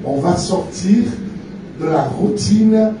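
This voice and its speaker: Man from France, preacher, slightly high voice, short phrases for an interpreter, booming church echo, heard from a distance. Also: noisy background. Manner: pauses in short phrases for translation. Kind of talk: sermon with live interpreter. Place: large church hall. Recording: echoey church from far off.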